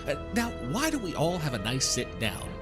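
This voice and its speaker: Man acting suave gentleman stranger, high-set voice, swooping intonation, ornate manners, sly wit, audio drama. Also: wildly swooping pitch, normal speed, over music. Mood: courtly, needling. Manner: florid, over-polite, theatrical, wry. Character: suave, courtly gentleman stranger, slyly mocking and theatrical.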